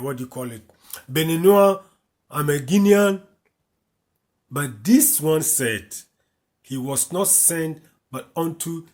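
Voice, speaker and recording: A man's voice: medium pitch, darkish tone. A man from Africa, teaching from the Bible by reading verses aloud and then explaining them, repeating key lines. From West Africa. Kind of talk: slow, repetitive Bible commentary.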